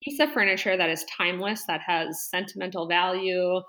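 A woman guesting on a podcast, chatting casually and rambling with lots of fillers and quick laughs. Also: lowish voice, pushed and bright. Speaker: podcast guest, interior design business owner. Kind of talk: casual interview chat.

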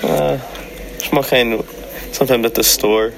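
A man's voice: mid-range voice, steady tone, unhurried, creaky, background noise. A young man from Hawaii, giving short, laid-back interview answers.

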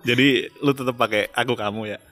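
A young man co-hosts a podcast in loose, friendly chat. He has a Jakarta Indonesian accent and drops in slang. His voice sits at an ordinary middle pitch, and he breaks into laughter often. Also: steady tone, quick pace, fairly bright tone. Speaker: podcast co-host.

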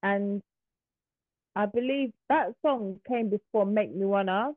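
A woman's voice: mid-range voice, unhurried, no room sound. British-accented woman over a tinny call line. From Uganda. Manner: candid, laughs easily. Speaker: musician guest. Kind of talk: casual interview chat. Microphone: video call. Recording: tinny phone-like call audio.

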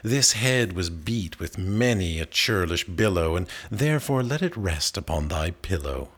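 A man's voice: deep voice, expressive, unhurried, slightly rough, exaggerated voice. A man voicing a cold, weary young lover who entreats in recited verse. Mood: pleading.

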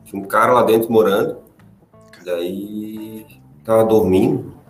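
A man with a fairly low voice tells a story at an easy pace. His voice echoes a little in the room, and faint music plays behind it. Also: fairly even intonation, unhurried. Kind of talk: casual podcast storytelling. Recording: roomy sound with faint music.

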